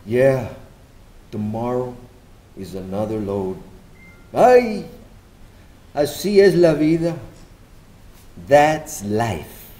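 A man's voice: medium pitch, animated, extremely slow, long pauses, warm tone, pitched below the performer's own voice. A man voicing a friendly, sympathetic South Texas Mexican American street vendor in a live dramatic reading, mixing English and Spanish. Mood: resigned sympathy. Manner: switches between English and Spanish, sing-song hawking. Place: lectern in a large meeting room with seated audience. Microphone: lectern microphone on stand.

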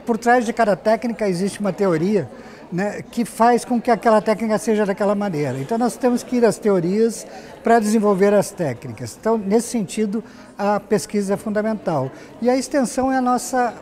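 A man in his sixties with a Brazilian Portuguese accent, giving measured, explanatory interview answers as a professor. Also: high-pitched, darkish tone. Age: 60s. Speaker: interview guest, journalism professor. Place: glass-walled lobby set, seated interview.

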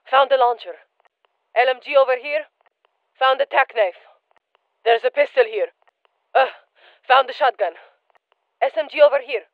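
A woman's voice: sits high, smooth, no echo, phone-quality sound. A woman voicing a battle-hardened female soldier operator in a game, speaking in clipped military callouts with dry humor. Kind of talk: game voice lines.

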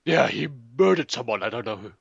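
A husky, mock-serious documentary presenter, played by a man for a comedy sketch. He is pompous, deadpan and absent-minded. The sound is dry and slightly muffled. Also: medium pitch, fast, dry recording, full character voice. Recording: dull, lo-fi voice recording.

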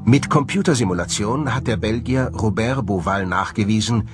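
A man's voice: lowish voice, normal speed. A man delivering scripted narration for a documentary. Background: background music.

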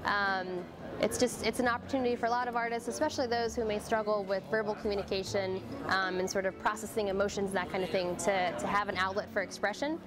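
A woman's voice: medium pitch, fast talker. Young woman chatting loosely, music behind. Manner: relaxed, many ums and restarts. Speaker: program organizer. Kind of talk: casual interview. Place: indoor exhibition hall.

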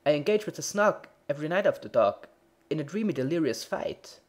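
A man with a husky voice voicing a mild, fretful baker who speaks in a plaintive, anxious way, in a storybook reading. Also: fairly high pitch, animated, brisk, darkish tone, pitched above the performer's own voice, lightly acted.

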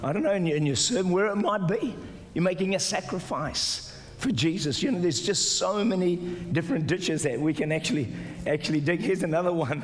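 An older man preaching a sermon as pastor, exhorting the congregation, sometimes shouting and mimicking doubters in a higher voice. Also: a little fast, few pauses. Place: large church auditorium with stage. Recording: live church hall reverb with music. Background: soft music and congregation responses.

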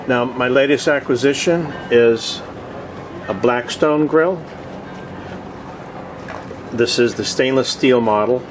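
Guy doing a casual vlog tour, plain halting delivery, mid pitch, lots of outdoor noise. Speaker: host of a cooking vlog. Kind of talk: casual video tour narration. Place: open deck of a boat on the water.

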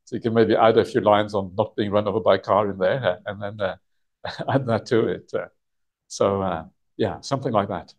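Man, middle-aged, monk, dharma talk, soft-spoken, chuckles, many uhs. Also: on the low side, steady tone, normal speed. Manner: chuckles often, hesitant with many uhs, speaks softly. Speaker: Buddhist monk teaching a sutta retreat. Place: meditation hall with shrine, indoors.

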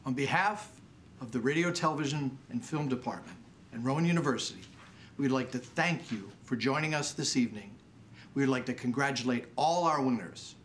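An older American man presents awards from a script. His voice is mid-pitched, with a faint noise behind it in a studio. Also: fairly quick, fairly bright tone. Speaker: awards show host. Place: indoor studio set.

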